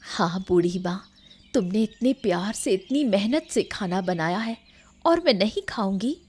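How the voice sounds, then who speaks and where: A woman's voice: medium pitch, animated, measured pace, darkish tone, breathy edge. A woman voicing a sweet, eager young queen, affectionate and earnest, in a cartoon story. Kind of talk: Hindi cartoon moral story dialogue.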